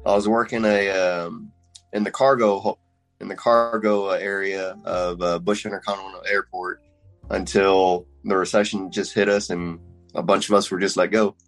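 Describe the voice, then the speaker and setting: Brief: thirties Texan-accented man, lowish voice, plain and dry, faint music. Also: level tone, normal speed, creaky, no echo, rough recording. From Philippines. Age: 30s. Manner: matter-of-fact, dry humor. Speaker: police officer guest. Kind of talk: casual interview.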